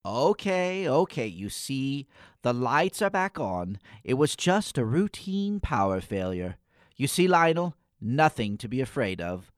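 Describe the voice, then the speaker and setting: A man playing a gloomy, pompous and exasperated schoolboy with a wordy, deliberate delivery in a comic radio play. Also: medium pitch, big pitch swings, no echo. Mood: reassuring.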